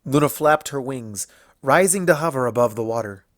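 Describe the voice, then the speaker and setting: A man reading scripted fan fiction as narrator, telling the story in an expressive way. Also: medium pitch, normal speed, dry recording, clean studio sound.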